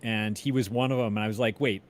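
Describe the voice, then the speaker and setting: A man's voice: lowish voice, steady tone, fast. A man interviewing in a casual chat, rambling a little and searching for his words.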